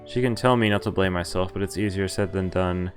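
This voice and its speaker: A man whose native language is Dutch speaks in a slightly low voice as a game streamer. He reads story text aloud and drops in casual remarks, stumbling on the odd word. Faint music plays behind him. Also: a little fast. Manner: stumbles over words while reading, comments to himself. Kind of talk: reading a visual novel aloud with casual live reactions.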